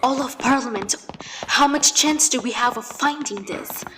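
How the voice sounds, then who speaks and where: A woman's voice: on the high side, moderate pace, close to an everyday voice. A woman playing a wary, sceptical resistance fighter in an audio drama, terse and practical. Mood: urgent. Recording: loud noisy sound effects behind.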